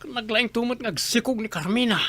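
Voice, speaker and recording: A man's voice: very high voice, a little fast, sharp tone, exaggerated voice. A man playing a flippant, irresponsible young husband in a radio drama, swaggering and dismissive. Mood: teasing, crude.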